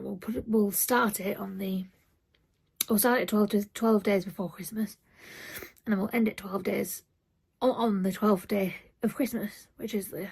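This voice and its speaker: A woman vlogging at home early in the morning, talking softly and half-whispering in a croaky just-woken voice, rambling with fillers. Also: medium pitch. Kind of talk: casual morning vlog chat.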